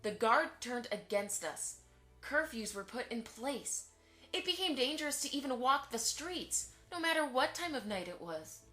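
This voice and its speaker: A woman's voice: high-pitched, sing-song, normal speed, a bit bright, faint music behind. A woman playing a polite, chatty pony mother who keeps the peace in an audio drama. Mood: conversational, pointed.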